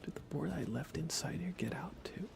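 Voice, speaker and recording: A man giving hushed, soft-spoken ASMR gaming commentary as a live streamer, often dropping into a whisper. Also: a little high, animated, fairly quick, vocal fry. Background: music.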